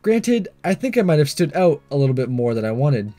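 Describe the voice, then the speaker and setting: A man's voice: a little high, big pitch swings, fairly quick, warm tone, faint music behind. A man voicing a confident, casual teenage boy disguised as a schoolgirl, narrating a visual novel. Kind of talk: first-person visual novel narration and dialogue.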